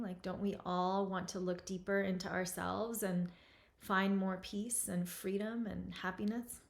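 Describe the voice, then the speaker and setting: A woman host in her forties, from Lebanon, speaking at an unhurried pace with a middle-range voice. She talks reflectively and softly, taking her time over each thought. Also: medium pitch, gravelly. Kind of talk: reflective event introduction.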